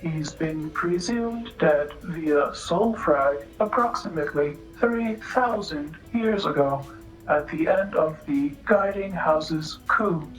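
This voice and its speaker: An actress playing a polite, sly female android bartender in a noir audio drama, speaking formally and literally in halting, precise phrases. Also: deep voice, soft background music, rough recording. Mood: deadpan needling.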